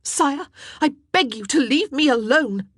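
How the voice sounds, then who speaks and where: A woman's voice: high voice, a little slow, mellow, slightly rough, no room sound, studio quality, voice pushed up. A woman voicing a proud, distraught young queen who pleads and cries out in indignation. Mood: furious.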